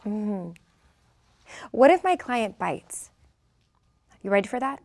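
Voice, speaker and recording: A woman acts out a poised, flirty businesswoman at a script table read. Her voice sits at a medium pitch, and her delivery is coy and teasing. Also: very animated, slow pace, a little mellow. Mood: teasing, businesslike. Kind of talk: screenplay table-read acting.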